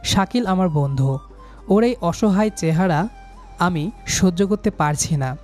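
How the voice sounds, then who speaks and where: A man's voice: high-pitched, unhurried, darkish tone, soft background music. A young man narrating a scripted first-person love story in a dramatic, sentimental manner.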